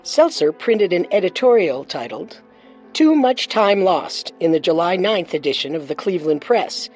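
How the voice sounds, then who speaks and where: A woman's voice: on the low side, big pitch swings, normal speed, a little mellow, faint music behind, low-quality recording. A woman hosting a true-crime show with scripted narration. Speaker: host and narrator.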